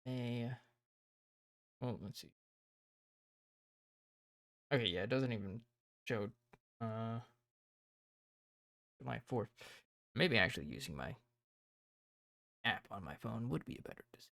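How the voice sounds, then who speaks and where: A man chatting on a livestream with a gravelly, croaky voice that has a bright, forceful edge. He rambles and thinks aloud as he plans, sounding hoarse and worn. The sound is close and dry. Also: mid-range voice, lively intonation, measured pace, long pauses, dry recording. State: hoarse, tired voice. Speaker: streamer. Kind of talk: casual livestream chat.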